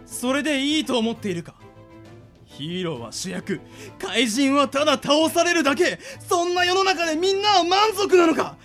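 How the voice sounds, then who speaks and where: A man's voice: extremely high pitch, animated, moderate pace, a bit bright, soft background music, exaggerated voice. A man playing an ambitious, theatrical monster boss in a radio drama, rousing his followers like a speechmaker. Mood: impassioned. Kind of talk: anime-like radio drama acting.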